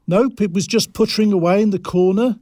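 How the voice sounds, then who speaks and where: Actor as mild, deferential cleaner for audio drama: high male voice, apologetic, dry close recording. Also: brisk, mellow, dry recording. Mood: polite. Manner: deferential, simple. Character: polite, mild, slightly apologetic male cleaner giving a statement.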